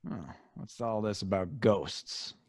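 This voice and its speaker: An actor playing a trigger-happy, hot-headed, slangy gangster sidekick in an old time radio crime drama. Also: male voice, lowish voice, takes it slowly, a little mellow, dry recording, studio quality. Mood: blustering, suspicious. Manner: blustering, slangy, tough-guy.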